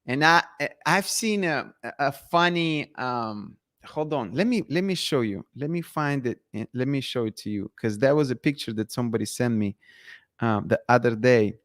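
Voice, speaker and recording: A man's voice: mid-range voice, lively intonation, normal speed, fairly bright tone. A man hosting a casual live chat, reading viewer comments and talking with many restarts and fillers. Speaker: livestream host.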